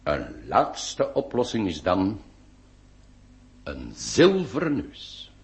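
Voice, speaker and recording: A man's voice: low voice, wildly swooping pitch, heavily acted. A man acting in a radio play as a self-assured, vain nineteenth-century surgeon who speaks in a formal, pedantic, soothing way. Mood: matter-of-fact. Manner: pompous, formal, deliberate emphasis. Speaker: radio drama actor. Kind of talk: theatrical Dutch-language radio play acting.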